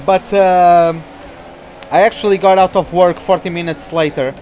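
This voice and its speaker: A man's voice: high-pitched, fairly even intonation, moderate pace, a little mellow, a little hiss or hum. A young man, chatting with viewers while streaming a racing game. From Portugal. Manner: chatty, reads out viewer names, reacts to the race. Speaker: gaming streamer.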